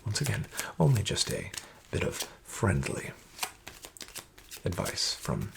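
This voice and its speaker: A man playing a smooth-talking, falsely friendly casino dealer, soft-spoken and half-whispered. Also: low voice, wildly swooping pitch, slow, full character voice. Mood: sly coaxing. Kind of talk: soft-spoken ASMR roleplay. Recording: audible background noise with card shuffling.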